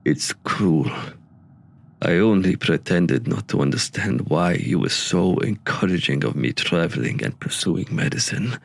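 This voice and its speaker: Guy acting a solemn regretful man in an audio drama, really deep n gravelly, earnest farewell. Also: exaggerated voice. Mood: hurt, regretful. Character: grave, regretful man with medical training, saying a hard farewell.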